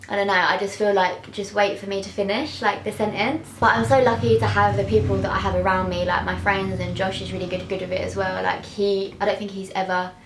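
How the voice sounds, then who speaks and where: A woman's voice: medium pitch, steady tone, brisk, hardly any pauses, slight background noise. Woman with a stutter, echoey room. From England. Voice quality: stutter with sound and word repetitions. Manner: open, heartfelt, many fillers. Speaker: vlogger. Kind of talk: candid talk to camera. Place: small bedroom indoors. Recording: echoey bedroom.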